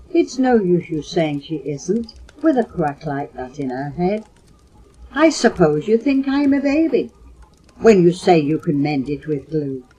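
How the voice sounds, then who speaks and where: A woman's voice: dark tone, slightly rough, lo-fi sound, heavily acted. Older woman reciting as an indignant, grieving little girl. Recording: low-fidelity home audio cassette.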